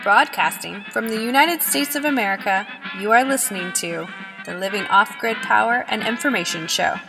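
Reverberant woman announcer over loud guitar music. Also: mid-range voice, normal speed, vocal fry. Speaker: show announcer. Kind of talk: scripted radio station ident. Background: loud plucked guitar music.

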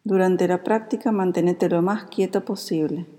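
A woman with a Rioplatense Spanish accent guides a meditation in a calm, soothing, unhurried manner. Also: lowish voice. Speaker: yoga nidra meditation guide. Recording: long reverberant hall-like echo.

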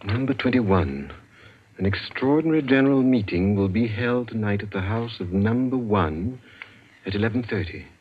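An actor playing a secretive middle-aged ex-manservant who mutters dryly to himself, in 1940s radio acting. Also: male voice, lowish voice, expressive, moderate pace, a little hiss or hum, close to an everyday voice. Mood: musing. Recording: dull lo-fi old radio recording.

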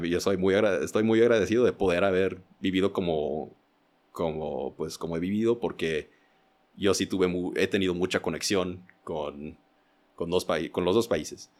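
Podcast guest: man, very deep voice, casual, halting and self-correcting delivery. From United States, Indiana. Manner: hesitant, self-correcting, qualifying himself. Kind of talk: casual interview, personal reflection.

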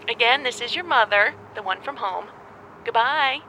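Actress as bright-voiced doting mother on noisy voicemail. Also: on the high side, animated, a little slow, heavily acted. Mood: proud, affectionate. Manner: earnest, over-explaining. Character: proud, doting, awkward middle-aged mother. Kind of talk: voicemail message in audio drama.

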